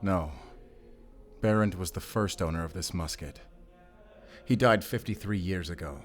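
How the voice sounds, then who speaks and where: An actor playing a weary, guarded werewolf hunter in an audio drama, terse, stern and defiant. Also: male voice, low-pitched, unhurried, soft background music. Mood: guarded, grim. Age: 30s.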